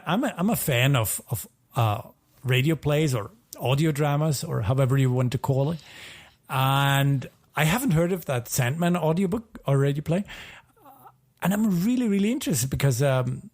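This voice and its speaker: A man co-hosting a podcast in a casual style, picking his words haltingly. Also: a little high, expressive. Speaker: podcast co-host.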